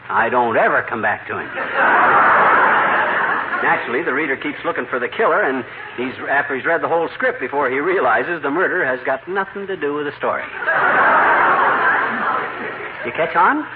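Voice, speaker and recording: Man playing excitable folksy braggart on old radio. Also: a little high, a little fast, narrow, tinny audio, natural voice. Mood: boastful, excited. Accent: American. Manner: folksy, boastful, slangy. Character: boastful, folksy husband fancying himself a great author. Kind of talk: 1940s radio comedy acting. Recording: noisy, thin old radio recording.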